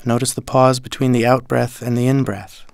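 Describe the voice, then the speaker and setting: A man with a mid-pitched voice leads a guided meditation. He gives each instruction calmly and deliberately, like a teacher. The sound is dry, with no room echo. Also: gravelly, clear, resonant tone.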